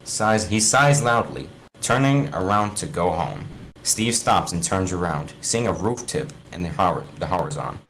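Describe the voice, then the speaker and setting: A man's voice: deep voice, big pitch swings, a little slow, fairly bright tone, a little hiss or hum, low-quality recording. A man reading a fan fiction story aloud informally, stumbling over words and correcting himself. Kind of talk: informal read-aloud narration among friends.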